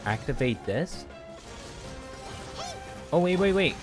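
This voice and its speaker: A man's voice: a little high, sing-song, slow pace. A man doing casual live game commentary as a streamer, muttering and exclaiming to himself as he plays. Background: game music.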